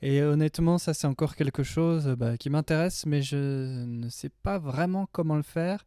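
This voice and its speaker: A man's voice: a little high, moderate pace, mellow, clear, resonant tone, no room sound, clean studio sound. A man in his thirties giving a casual, chatty, slangy tutorial as a music production tutor. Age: 30s. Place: small home studio indoors.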